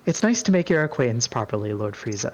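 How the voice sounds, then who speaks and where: A man's voice: medium pitch, big pitch swings, fast. A man voicing a polite, earnest young goddess with a formal, courteous manner and a touch of steel.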